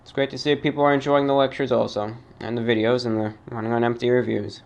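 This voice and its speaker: A man hosting his own show, reading fan emails aloud and answering them in a formal, measured, somewhat halting way. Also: medium pitch, level tone, creaky. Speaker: host reading fan mail. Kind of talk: radio-style monologue reading emails.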